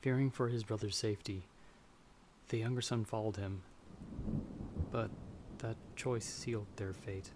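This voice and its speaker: A man's voice: lowish voice, fairly even intonation, measured pace, long pauses, noisy background, natural voice. A man playing a timid, polite young farmer in an ASMR fantasy roleplay, speaking hesitantly and a little formally. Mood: uneasy storytelling. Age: young adult. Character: timid, polite young male farmer traveler, easily frightened. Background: stormy night ambience.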